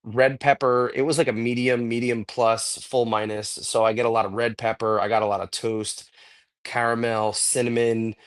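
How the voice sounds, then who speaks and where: A man co-hosting a podcast, a little hoarse and congested from a cold, rambling enthusiastically in casual chat with lots of fillers. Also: mid-range voice, little pitch movement, normal speed. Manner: rambling, enthusiastic cigar talk with many fillers. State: getting over a cold, a bit hoarse and congested. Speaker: podcast co-host.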